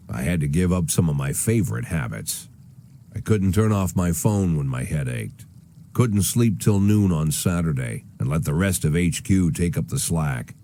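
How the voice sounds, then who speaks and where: An actor playing a weary, cynical, aging American police chief gone corrupt, narrating a noir video game in a dry, sardonic manner. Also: male voice, low voice, warm tone. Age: older.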